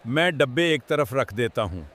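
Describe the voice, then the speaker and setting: A man voicing a friendly, helpful vegetable in a children's cartoon, with an expressive, moralizing manner. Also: mid-range voice, slight background noise, lightly acted. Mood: warm, earnest.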